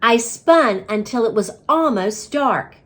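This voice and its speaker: Expressive woman reading a storybook, slowly. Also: a little high. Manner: dramatic, emphatic storytelling. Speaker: reading coach reading aloud. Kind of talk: expressive children's storybook read-aloud.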